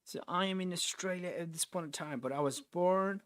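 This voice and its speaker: A man's voice: high voice, a little fast, a bit bright. A young man from Nepal, hosting a live trading stream and talking through his trades while answering chat, often repeating and restarting phrases as he thinks aloud.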